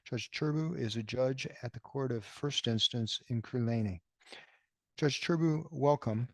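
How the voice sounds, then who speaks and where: Gravelly man chairing a formal hearing. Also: mid-range voice, no echo. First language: not Romanian. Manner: measured, deliberate official delivery. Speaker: chair of a vetting commission hearing. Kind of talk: formal procedural statement.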